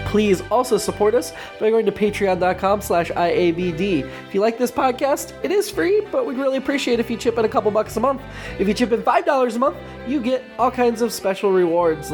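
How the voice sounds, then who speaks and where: A woman hosts a podcast, delivering scripted announcements in a mid-pitched voice. Music plays behind her as she speaks. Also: a little mellow. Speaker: podcast host.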